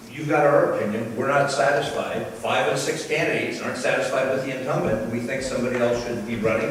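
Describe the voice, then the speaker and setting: A man's voice: medium pitch, distant mic, a little hiss or hum. A man running for city council, giving a firm, earnest campaign speech at a public candidates meeting. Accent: Canadian. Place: large hall at a candidates meeting. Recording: echoey hall, mic from afar.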